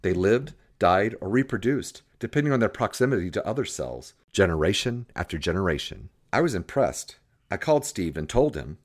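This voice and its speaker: Animated lower-voiced male audiobook narrator. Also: moderate pace. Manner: animated storytelling with acted dialogue.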